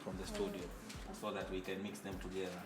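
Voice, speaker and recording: A man's voice: low voice, completely flat delivery, fairly quick. A man explaining his work as an animator in an interview, step by step. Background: music and an overlapping voice-over.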